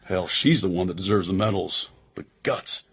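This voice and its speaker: An actor with an American accent playing a tough, loyal intelligence agent in a radio drama, husky, wry and slangy. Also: male voice, deep voice, moderate pace, exaggerated voice. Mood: blunt, admiring.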